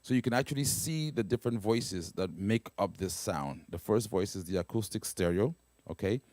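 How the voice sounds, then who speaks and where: Deep-voiced man demoing a keyboard. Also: lively intonation, darkish tone, no echo. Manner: explains step by step, often checking in with "right?" and "okay?"; halting, with fillers and restarts. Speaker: keyboard product demonstrator. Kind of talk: casual instrument demo. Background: keyboard pads and guitar playing.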